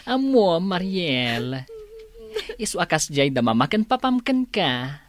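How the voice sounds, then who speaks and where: A woman's voice: lowish voice, big pitch swings, slow pace. A woman acting a caring young adult confidante in a radio drama, giving earnest, consoling advice. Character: caring older female confidante, gently advising.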